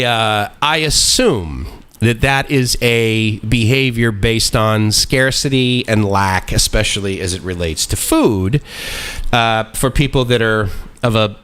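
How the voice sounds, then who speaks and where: A man in his forties talks on his own live stream in a casual, meandering monologue. His pitch sits in the ordinary middle for a man. He wanders between thoughts with plenty of fillers and restarts, and often chuckles at himself. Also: fairly bright tone, smooth. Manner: rambling, self-deprecating, chuckles often; loose, meandering with many fillers.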